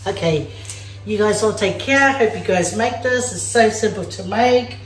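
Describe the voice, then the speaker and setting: A woman from Samoa hosts a home cooking vlog and chats playfully with her viewers as she works. She speaks at an unhurried pace. Her voice bounces around a roomy, echoey home kitchen. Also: mid-range voice, faint music behind. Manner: chatty and playful, talks straight to viewers as "guys". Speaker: cooking vlogger. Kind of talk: casual cooking demonstration. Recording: echoey room.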